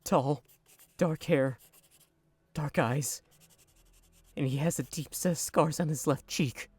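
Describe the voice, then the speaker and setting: An actor playing a haunted young man recounting his captivity in a hesitant, halting way. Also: fairly high pitch, a little mellow, husky, dry recording. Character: haunted young male witness, former captive.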